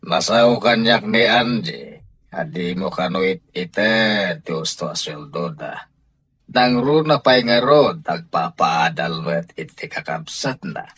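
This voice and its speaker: A man's voice: on the low side, expressive, takes it slowly, a bit bright, breathy edge, exaggerated voice. A man with an Ilocano accent playing a firm, sanctimonious husband and head of household in a radio drama. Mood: insistent.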